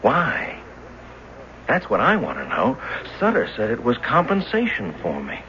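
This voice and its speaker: A man's voice: mid-range voice, huge pitch swings, measured pace, pushed and bright, full character voice. An actor in a 1970s radio drama playing an earnest, agitated ordinary man facing the supernatural, urgent and insistent. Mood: puzzled, insistent. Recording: dull, noisy 1970s radio recording.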